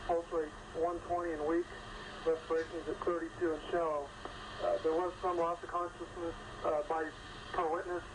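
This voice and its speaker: Over noisy radio audio, a man plays a male ambulance medic in a staged emergency. His fairly high voice stays flat and businesslike, delivering clipped procedural reports. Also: natural voice.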